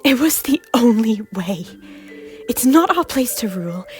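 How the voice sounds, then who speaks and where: Guilty ex-queen in an audio drama, woman voicing her, kinda high, hushed and whispery, faint music. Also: big pitch swings, measured pace. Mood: defiant. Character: guilt-ridden former Scottish queen, defiant yet remorseful. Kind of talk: amateur audio drama acting.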